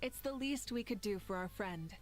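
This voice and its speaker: A woman's voice: mid-range voice, animated, normal speed, close to an everyday voice. A woman voicing a confident, kind female leader in a game, speaking with easy assurance. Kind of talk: game cutscene voice acting. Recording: game audio with music underneath.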